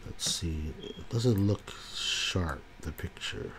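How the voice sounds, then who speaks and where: A man's voice: low voice, lively intonation, background noise. A man with a congested voice from a cold, calling out numbers one by one as he scratches lottery tickets. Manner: slow, calls out numbers one by one. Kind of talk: casual commentary while scratching tickets.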